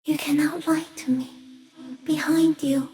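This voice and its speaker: A woman voicing a giant stick insect in a video game, gentle, solemn and cryptic, speaking in slow, measured phrases. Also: sits high, fairly even intonation, slight background noise. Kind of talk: video game character acting.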